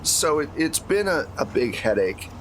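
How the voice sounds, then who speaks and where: A man's voice: a little high, very animated, normal speed, slight background noise, rough recording. An American man acting as game master, talking casually and conversationally as he narrates a tabletop role-playing game. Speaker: game master of an actual-play podcast.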